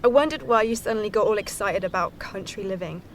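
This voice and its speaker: A woman's voice: medium pitch, fast talker, slight background noise, natural voice. An actress playing a restless young woman graduate, wry and challenging, in naturalistic audio drama. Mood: wry, suspicious. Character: restless young female graduate back home, wry and questioning. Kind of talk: naturalistic audio play dialogue.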